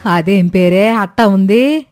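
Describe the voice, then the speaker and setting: A woman voicing an exasperated, sarcastic older mother-in-law in an animated story, scolding with dry mockery. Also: medium pitch, slow, soft background music, close to an everyday voice. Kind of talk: Telugu animated moral story dialogue.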